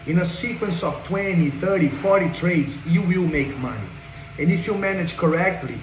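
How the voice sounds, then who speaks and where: Young Brazilian man, Portuguese native speaker, eager trading tutor, slightly high voice. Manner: enthusiastic, repeats phrases like "check this out". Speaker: day trader. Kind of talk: screen-share trade breakdown. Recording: echoey room with faint noise.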